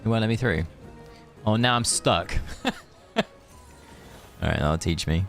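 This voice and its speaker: A man's voice: fairly low pitch, a little slow. A man streaming a game, chatting casually and rambling in friendly asides to his viewers. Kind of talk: casual live gaming stream chat. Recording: music behind the voice.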